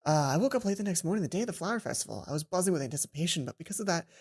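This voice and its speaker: A woman's voice: on the low side, animated, rapid-fire, darkish tone, breathy edge. A woman reading a visual novel aloud as a let's play host, narrating expressively and breaking off for casual comments. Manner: performs dialogue, breaks off to comment. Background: game music.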